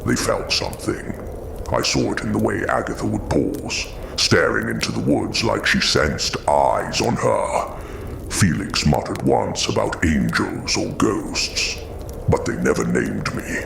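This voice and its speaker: A haunted giant creature for an audio drama, super low and slow, gentle but weary, noisy background. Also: male voice, sing-song, gravelly, exaggerated voice. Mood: sorrowful. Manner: slow, weighted, halting delivery.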